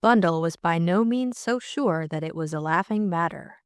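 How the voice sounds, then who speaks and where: A woman's voice: fairly low pitch, expressive, moderate pace. A young adult woman co-hosting an audiobook, reading narration and casually discussing the book.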